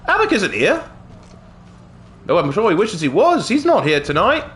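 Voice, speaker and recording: A man's voice: sits high, huge pitch swings, moderate pace, fairly bright tone, pitched above the performer's own voice, heavily acted. A man putting on a silly, cheeky, theatrical British accent as a chummy gamer lad, chatting casually on a game live stream. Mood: playful, animated. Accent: put-on British.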